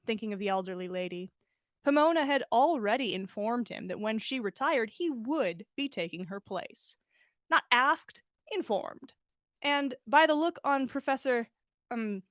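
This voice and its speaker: A woman reading fan fiction aloud as expressive story narration, animated, with the odd stumble and self-correction. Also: fairly high pitch, dry recording, clean studio sound. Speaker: podcast host reading listener fan fiction.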